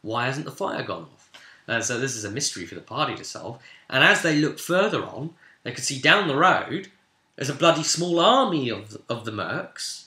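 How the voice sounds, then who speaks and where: Male game master, bright forceful voice, mid pitch, echoey room, informal storytelling full of casual asides. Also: sing-song, moderate pace. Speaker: game master recapping a session. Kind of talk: informal recap of a tabletop fantasy campaign.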